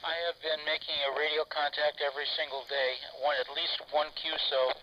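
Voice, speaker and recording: A man chatting as an amateur radio operator over two-way radio, in an orderly, deliberate way with spelled-out callsigns. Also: fairly high pitch, level tone, lo-fi sound. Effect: two-way FM radio transmission. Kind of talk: casual ham radio chat with spelled-out callsigns. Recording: noisy, band-limited ham radio transmission.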